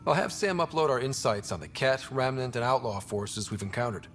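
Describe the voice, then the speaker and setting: A man's voice: brisk, faint music behind, close to an everyday voice. A man playing an earnest young space explorer and leader in a video game. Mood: calm. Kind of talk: video game dialogue acting. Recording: faint background rumble.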